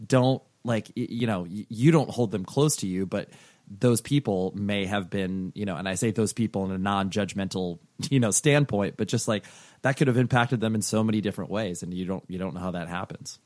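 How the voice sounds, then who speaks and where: A man's voice: fairly low pitch, fairly quick, a little mellow, no echo. A man hosting a podcast, chatting casually and rambling with lots of fillers and restarts. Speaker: podcast host. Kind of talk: casual interview chat.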